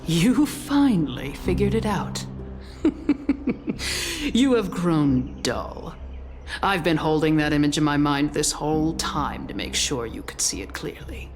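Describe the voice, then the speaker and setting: A woman's voice: sits low, lively intonation, measured pace. A woman voicing a cruel, mocking noblewoman conspirator in a video game, with a taunting, theatrical delivery. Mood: gloating. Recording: loud music under the voice.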